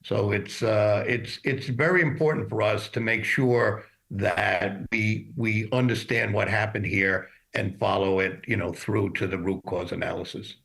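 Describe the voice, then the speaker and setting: A mature man with a fairly low voice speaks as an industry expert in a news interview. He explains things matter-of-factly, pausing and feeling his way through unscripted answers. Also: fairly even intonation, gravelly, fairly bright tone. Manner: halting, explanatory, matter-of-fact. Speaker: shipping executive as expert guest.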